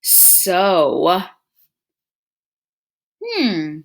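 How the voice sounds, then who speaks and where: A woman teacher reading a picture book aloud to young children, expressively, pausing to muse and put questions straight to her listeners. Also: fairly low pitch. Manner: expressive, addresses children directly with frequent questions and musing hums.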